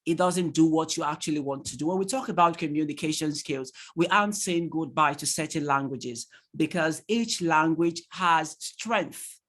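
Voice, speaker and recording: A male tutor with a Nigerian accent leads an online session for parents over a computer mic. He talks in an animated way, stacks rhetorical questions and laughs easily while reading the chat. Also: a little high, moderate pace. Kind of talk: online training lecture to parents.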